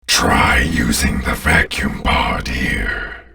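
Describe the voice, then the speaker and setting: Slow, abyssally deep alien warlord. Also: male voice, pushed and bright, recorded from a distance, heavily acted. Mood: commanding. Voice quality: growling, gravelly. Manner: imperious, grandiose, slow deliberate delivery. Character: ancient alien warlord villain, menacing, contemptuous, commanding. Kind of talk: dramatic video game villain voice acting.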